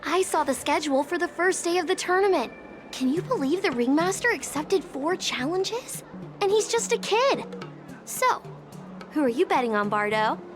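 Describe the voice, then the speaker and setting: A woman voicing an excited, gossipy young female tournament fan in a game. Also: very high voice, moderate pace, faint music behind. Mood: excited, curious. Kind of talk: acted game side-character chatter.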